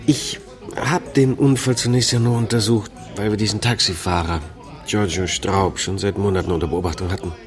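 An actor playing a matter-of-fact Italian police detective in a radio crime drama. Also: male voice, on the low side, fairly even intonation, normal speed, close to an everyday voice.

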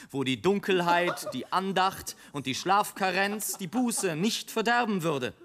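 An actor playing a sardonic airship traveller who looks down on mankind, mocking and oratorical in a literary radio play monologue. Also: male voice, high voice, fairly bright tone. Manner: mocking, oratorical, rolling long sentences. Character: sardonic male airship traveller, grimly mocking his fellow men.

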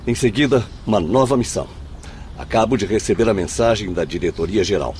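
A man's voice: lowish voice, fairly quick, a little mellow, a little hiss or hum, natural voice. A Brazilian man acting in a radio drama as an earnest young spirit messenger with a formal manner. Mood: dutiful.

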